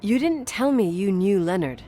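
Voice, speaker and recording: A woman's voice: mid-range voice, expressive, normal speed, dark tone, a little hiss or hum, close to an everyday voice. An actress playing a stubborn, inquisitive young woman in naturalistic game dialogue, suspicious and uneasy, probing earnestly with some hesitation. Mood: serious, confronting.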